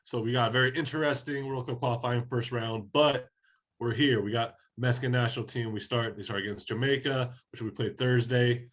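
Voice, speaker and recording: A man from Oregon co-hosting a soccer podcast, talking in a relaxed, casual way while slightly hoarse from a head cold. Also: medium pitch, brisk. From Oregon, USA. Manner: laid-back, conversational, many fillers. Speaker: podcast co-host.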